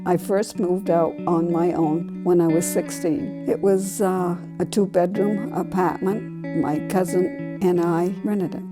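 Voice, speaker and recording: New woman in her 70s, voice a bit low, short simple answers about herself, guitar playing behind. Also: steady tone, unhurried, few pauses. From Maine, USA. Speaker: grandparent being interviewed. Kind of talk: brief personal answers. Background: acoustic guitar music.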